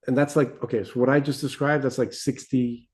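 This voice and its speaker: A man with a mellow, relaxed voice at an ordinary middle pitch talks as a podcast co-host. He explains things didactically in casual discussion, often pausing to check that the listener follows. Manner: didactic, checks in with "right?" and "okay?".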